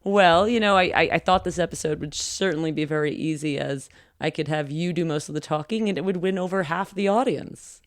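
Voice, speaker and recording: A woman's voice: deep voice, a little fast, few pauses, no echo, studio quality. A woman hosting a casual podcast interview, teasing and playful, laughing often. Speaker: podcast host.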